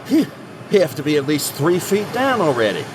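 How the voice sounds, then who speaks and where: A man's voice: a little high, sing-song, normal speed. A man playing a reluctant, skeptical utility worker in an audio drama. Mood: griping. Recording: clear humming machinery noise behind.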